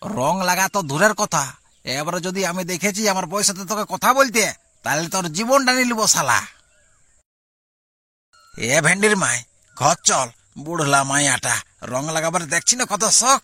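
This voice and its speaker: A man's voice: high-pitched, lively intonation, normal speed, long pauses, sharp tone, no echo, full character voice. A man voicing a jealous, hot-tempered village husband and father in a comic cartoon, berating in a rural Purulia Bengali accent. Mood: threatening. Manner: blustering, berating. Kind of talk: amateur comic cartoon dialogue.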